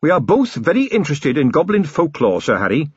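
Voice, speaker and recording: In an audiobook, a man plays a polite young captain of the watch with a raised voice. His speech is earnest and courteous, a little husky. The sound is dry and dull. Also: a little high, wildly swooping pitch, fairly quick, dry recording, voice pushed up, heavily acted. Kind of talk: audiobook character voice.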